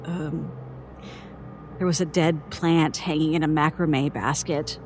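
Haunted, halting woman. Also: low voice, fairly even intonation, darkish tone. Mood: uneasy, haunted. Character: frightened woman recounting a childhood horror. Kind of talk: audio horror drama monologue. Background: music.

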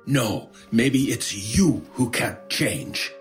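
An actor playing a gentle, steadfast, lonely old bookshop man in an audio horror drama, earnest and reassuring. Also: mid-range voice, animated, slow pace, faint music behind. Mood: earnest defiance. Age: older.

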